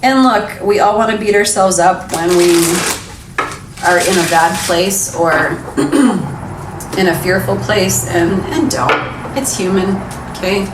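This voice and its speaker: A woman's voice: mid-range voice, slow, long pauses. A young adult woman giving a casual pick-a-card tarot reading in a frank, encouraging, chatty way. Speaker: tarot reader. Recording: echoey room, mic some distance away, background noise.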